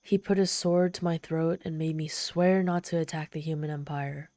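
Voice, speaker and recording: A woman's voice: low voice, steady tone, mellow, dry recording, clean studio sound. A woman playing a proud, easily flustered female demon in an ASMR roleplay, telling her story in a haughty, theatrical manner. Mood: embarrassed, grudging. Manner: haughty, theatrical, halting when embarrassed.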